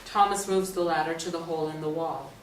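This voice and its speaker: Lowish-voiced woman narrator in an echoey room. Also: steady tone, a little fast. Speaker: narrator of a play reading. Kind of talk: scripted narration of stage directions. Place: echoey indoor stage or hall. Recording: echoey room, distant mic, faint noise.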